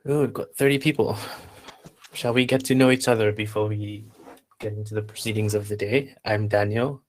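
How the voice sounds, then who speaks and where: A man from Zambia hosting a live online workshop, chatting casually and haltingly as he reads out attendees' replies. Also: fairly low pitch, steady tone. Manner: unhurried, halting, reading out chat replies. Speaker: workshop instructor and host.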